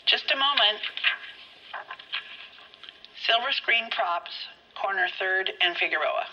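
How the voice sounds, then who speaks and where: An actress playing a brisk female police dispatcher in a 1940s noir video game, replying in a crisp, businesslike way. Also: medium pitch, animated. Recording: muffled radio line with hiss.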